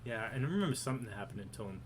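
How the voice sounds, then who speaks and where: A man co-hosting a podcast, chatting casually. He is excitable and profane, and his loudness swings a lot. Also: mid-range voice, very fast, a little hiss or hum. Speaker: podcast co-host. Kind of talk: casual chat.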